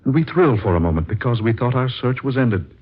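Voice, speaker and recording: A man's voice: medium pitch, very animated, fast talker, breathy edge. An actor playing an earnest, searching traveler, narrating his diary with solemn, literary deliberation in 1940s radio drama style. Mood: wistful, searching. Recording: dull vintage radio recording with music. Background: music.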